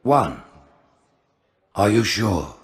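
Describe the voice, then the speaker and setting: An actor playing a cold, stately alien religious ruler in a game, with lofty, ceremonial delivery. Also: male voice, lowish voice, very animated, drawn-out words, husky, heavily acted. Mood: stern, condemning.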